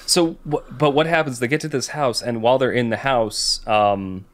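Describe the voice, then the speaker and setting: A man co-hosting a podcast, casually discussing a book and thinking aloud in a halting way. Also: mid-range voice. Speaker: podcast co-host.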